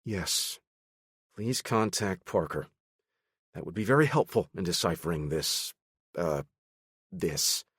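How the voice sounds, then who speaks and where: A man's voice: medium pitch, very animated, moderate pace, no echo, clean studio sound, pitched above the performer's own voice, natural voice. A man voicing a short-tempered male team leader, speaking with strained patience. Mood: exasperated.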